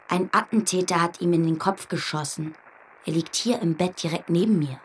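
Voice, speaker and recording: Radio drama role: imaginative, insistent girl, slightly husky voice, earnest and dramatic delivery. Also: mid-range voice. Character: bold, curious, fanciful girl Heidi. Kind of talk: German radio play acting.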